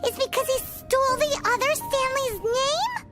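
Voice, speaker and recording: A woman voicing a tiny, blunt, nosy childlike fairy companion in an anime video game, in a squeaky voice. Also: measured pace. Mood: puzzled. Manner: speaks of self in third person, squeaky. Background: game music.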